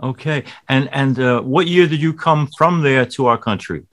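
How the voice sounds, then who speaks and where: A man's voice: medium pitch, normal speed. Man hosting a faith talk show. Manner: warm scripted intro, then easy conversational questions with fillers. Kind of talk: television talk-show interview.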